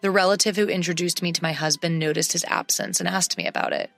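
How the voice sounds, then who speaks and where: Gravelly woman narrating a story. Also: fairly low pitch, fast talker, dry recording, soft background music. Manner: steady storytelling read. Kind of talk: scripted first-person story narration.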